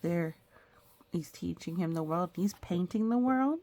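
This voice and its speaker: A woman's voice: fairly low pitch, expressive, a little slow, darkish tone. A woman reading a children's picture book aloud, playful and full of exclamations and chatty asides.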